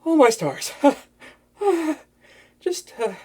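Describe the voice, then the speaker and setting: A woman playing a nervous, smitten young wizard in an intimate roleplay audio drama, with a stammering, excitable and bookish way of talking. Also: sits high, extremely slow, heavily acted. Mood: giddy. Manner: flustered stammering, hesitant, breathless enthusiasm.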